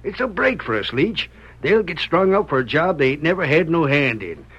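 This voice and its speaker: A man's voice: fairly high pitch, big pitch swings, moderate pace, a bit bright, slightly rough, exaggerated voice. An actor playing a sly outlaw henchman who talks in folksy frontier slang in a radio Western. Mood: gloating. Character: sly, gloating outlaw henchman of the Old West. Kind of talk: old-time radio Western acting. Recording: dull, hissy vintage radio recording.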